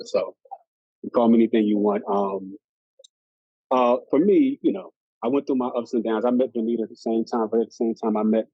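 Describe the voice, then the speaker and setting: Philly guy rambling through his story, lots of ums, mellow tone, muffled lo-fi sound. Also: lowish voice, no room sound. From Philadelphia, USA. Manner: rambling, many fillers and restarts. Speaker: guest. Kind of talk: casual personal story. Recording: muffled lo-fi audio.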